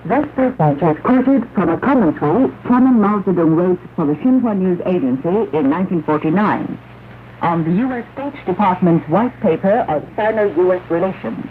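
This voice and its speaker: A woman radio announcer reads a formal, measured, declamatory propaganda news bulletin on a 1960s shortwave broadcast. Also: lowish voice, lively intonation. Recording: narrow, noisy 1960s shortwave radio recording.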